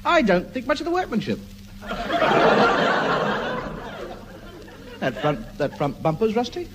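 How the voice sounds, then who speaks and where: A shabby English chancer putting on grand airs in a vintage radio comedy. His high-pitched voice rises and falls dramatically, bluffing grandly while lapsing into everyday slang. Heavy noise covers the old recording. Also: huge pitch swings, brisk, a loud crowd. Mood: bluffing, unimpressed. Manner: mock-grand, bluffing, slipping into colloquial speech. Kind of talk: 1950s British radio comedy acting.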